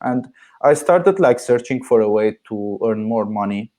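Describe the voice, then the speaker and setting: A man's voice: medium pitch, lively intonation, a little slow, warm tone. Young man from Syria, earnest interview guest. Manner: earnest, many filler "like"s. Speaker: guest, marketing agency founder. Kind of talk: interview answers.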